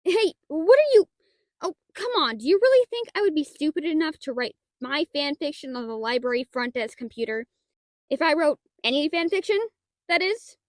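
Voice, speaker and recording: A woman's voice: high-pitched, sing-song, moderate pace, no echo. A woman playing a shy, nerdy half-dragon librarian in a roleplay audio drama, talking in a hesitant, flustered, stammering way. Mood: panicked protest. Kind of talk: roleplay audio drama, one-sided dialogue.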